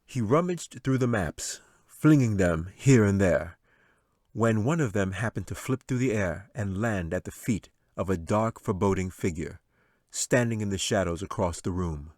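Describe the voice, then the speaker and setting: A man with a low voice narrates a fantasy adventure as its author. The recording is dry and close, with a scripted storybook delivery. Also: expressive, normal speed, a little mellow, dry recording. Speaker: author reading own audiobook.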